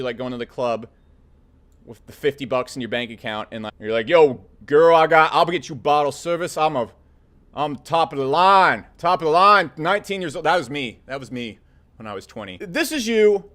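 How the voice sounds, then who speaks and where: A man in his thirties giving blunt, profanity-laced business advice straight to camera. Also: high-pitched. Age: 30s. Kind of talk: casual direct-to-camera advice talk.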